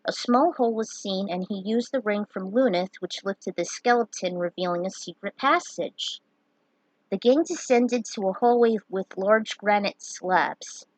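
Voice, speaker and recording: A woman's voice: medium pitch, a little slow, no echo, rough recording. A woman reading fantasy fan fiction aloud as its narrator. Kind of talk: story narration read aloud.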